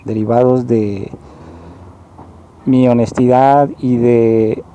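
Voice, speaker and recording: A man with a very dark, mellow voice. He talks slowly and earnestly, pausing and rewording his phrases as he goes. His pitch sits at an ordinary middle level. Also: steady tone. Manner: slow, halting, rephrases often; earnest and explanatory. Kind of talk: spoken monologue, personal complaint.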